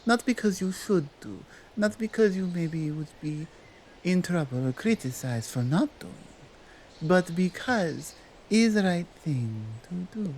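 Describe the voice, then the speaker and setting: A woman playing a strong, dominant female Krampus in an ASMR roleplay, speaking in a deliberate, halting way with a Nordic accent. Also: fairly low pitch, lively intonation, a little mellow, slight background noise, poor audio. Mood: earnest, deliberate. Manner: slow, deliberate, halting foreign-sounding phrasing. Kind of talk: ASMR roleplay monologue addressed to the listener.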